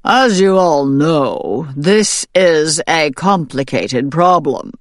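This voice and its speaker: A woman voicing a pompous male rooster who heads the barn, slow and announcing. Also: low-pitched, lively intonation, dry recording, studio quality.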